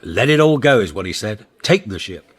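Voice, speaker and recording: A man in a radio comedy drama playing a cocky, impulsive galactic travel writer, breezy, slangy and excitable. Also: fairly low pitch, moderate pace, husky.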